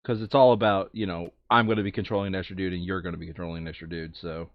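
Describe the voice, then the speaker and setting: Low-voiced man chatting, slightly muffled. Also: fairly even intonation, very fast, no room sound. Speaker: board game player on a playthrough video. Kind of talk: casual chat while playing a board game.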